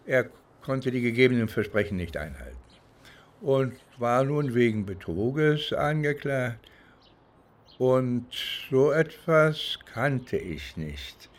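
Slow, halting man from East Germany. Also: mid-range voice. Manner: halting, deliberate, searching for words. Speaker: interviewee. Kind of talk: reflective spoken recollection.

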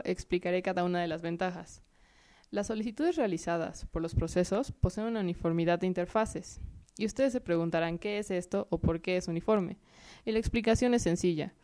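A young woman as guest expert, giving a measured, lecture-like scripted explanation that lists points one by one. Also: fairly low pitch, mellow, no room sound.

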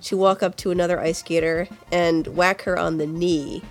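Congested, lowish-voiced woman podcaster. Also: fairly even intonation, normal speed. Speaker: podcast co-host. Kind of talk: casual chat. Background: music.

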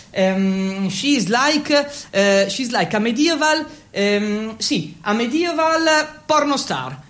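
A man's voice: sits high, fairly bright tone. A man with an Italian accent playing an awkward, feverish teenage boy in a theatrical first-person monologue. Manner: halting and fumbling when speaking English.

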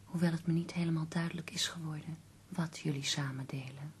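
A woman acting in a Dutch radio play as an ailing poet's devoted, earnest companion, soft, breathy and restrained. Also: deep voice, steady tone, fairly quick, slight background noise, close to an everyday voice. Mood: earnest, measured.

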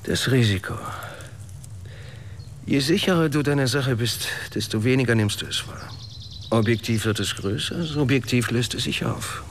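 An actor playing a cool, slightly superior man in a radio drama, with measured, precise, pointed speech. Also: mid-range voice, a little hiss or hum, lightly acted. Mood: cool, reserved. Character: cool, clever, slightly superior man confronting an old acquaintance. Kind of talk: naturalistic radio drama acting.

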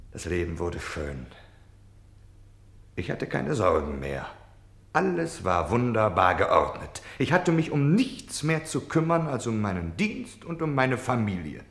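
A man's voice: on the low side, creaky, fairly bright tone, roomy sound, exaggerated voice. A man playing a defensive, self-pitying Nazi official on wartime leave in a German radio play, justifying himself between subdued confession and indignant outbursts.

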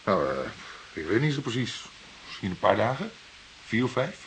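An actor playing a mild younger detective sidekick in a radio drama. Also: male voice, medium pitch, big pitch swings, normal speed, lightly acted, low-quality recording. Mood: puzzled. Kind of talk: Dutch radio drama acting. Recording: hissy radio play recording.

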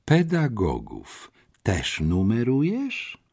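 A man's voice: mid-range voice, huge pitch swings, unhurried, a little mellow, husky, exaggerated voice. A man voicing a stern, domineering school headmistress in an audiobook, scolding with mocking sarcasm. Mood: indignant.

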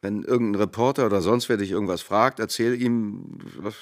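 Man acting shrewd lawyer, male, low-pitched, creaky, measured, insistent, radio drama. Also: close to an everyday voice. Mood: firm. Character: shrewd, cautious male big-city lawyer.

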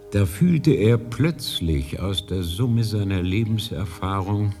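A man playing an ancient, fatherly high lama in a radio drama, speaking in a solemn, deliberate storytelling manner. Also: mellow, heavily acted. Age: older. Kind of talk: 1980s German radio drama acting. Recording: long reverberant hall.

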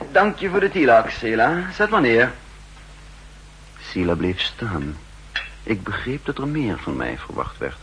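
An actor playing an undercover spymaster in a 1960s radio drama, guarded and wry beneath a genial surface. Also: male voice, mid-range voice, a bit bright, low-quality recording. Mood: awkwardly kind. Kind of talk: 1960s Dutch radio drama acting and first-person narration. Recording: 1960s radio recording, faint hum and noise.

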